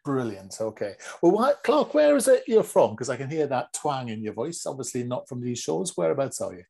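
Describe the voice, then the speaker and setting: A man's voice: medium pitch, very animated, fairly quick, creaky, dark tone, slightly rough. A man, speaking as the host of an interview podcast. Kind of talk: scripted podcast introduction and interview.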